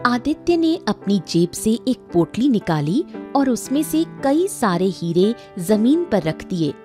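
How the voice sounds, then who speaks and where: Female cartoon narrator: unhurried, highly varied intonation, reading a scripted story. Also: very animated, a little mellow, faint music behind.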